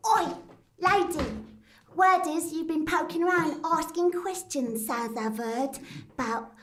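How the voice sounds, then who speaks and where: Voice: high voice, unhurried, a bit bright. A performer voicing a peculiar, shady pub creature who whispers slyly and wheedles in a live-staged radio drama. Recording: roomy theatre echo.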